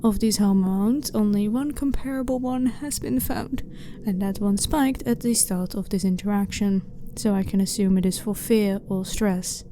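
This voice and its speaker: A woman playing a blunt, clinical but harmless alien scientist in an ASMR sci-fi roleplay, speaking in a formal, measured, matter-of-fact way. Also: mid-range voice, dark tone, natural voice. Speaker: ASMR voice performer. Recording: clearly noisy ambient background.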